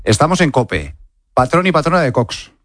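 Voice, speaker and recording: A man's voice: on the high side, sing-song, normal speed, dry recording, studio quality. A man with an accent from Spain putting informal, playful quiz questions as a radio interviewer. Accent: Spanish, from Spain. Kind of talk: quick-fire quiz interview.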